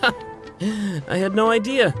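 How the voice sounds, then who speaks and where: A man's voice: sits high, animated, takes it slowly. A man playing a cheeky male mercenary in a video game, teasing and casual in his delivery. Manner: joking, casual. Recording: music behind the voice.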